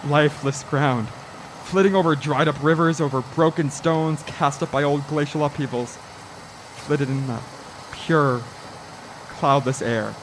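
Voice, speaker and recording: A haunted young man in a suspense radio play, acted by a male performer. His voice sits a little high for a man. He tells his ordeal dramatically, with dread, and stammers when fear takes hold. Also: fairly even intonation, unhurried, a little hiss or hum, natural voice, low-quality recording. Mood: haunted, brooding. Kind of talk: old-time suspense radio play acting.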